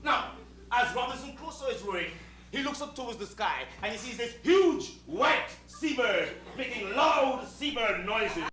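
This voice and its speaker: An actor with a Caribbean accent playing a proud, sardonic servant who bosses like a director in a stage comedy, mock-polite and emphatic. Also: male voice, very high-pitched, animated, a little slow, bright, forceful tone, exaggerated voice. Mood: bossy, exasperated. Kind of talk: live satirical stage comedy acting. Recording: echoey far-off stage recording, humming noise.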